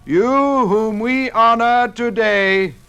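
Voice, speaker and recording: Male oratorical leader, 1950s radio drama, forceful tone, measured and declamatory. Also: very high voice, clean tone, heavily acted. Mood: solemn tribute. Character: commanding public leaders giving formal, oratorical addresses. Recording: vintage 1950s radio recording.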